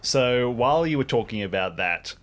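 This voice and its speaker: A man's voice: mid-range voice, lively intonation, moderate pace, a bit bright. A man casually improvising narration as a player in an audio drama.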